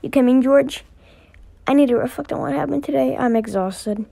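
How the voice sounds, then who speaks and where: A woman with a fairly high voice performs dialogue for an amateur animation. She reads the parts of the characters herself, in the style of a homemade skit. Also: moderate pace, creaky, warm tone. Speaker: amateur voice actor reading all characters.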